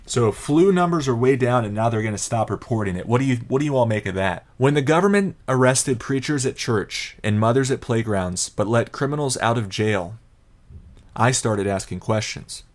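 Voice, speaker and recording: A man's voice: medium pitch, expressive, normal speed, darkish tone. A man giving conversational commentary on the news, addressing viewers directly.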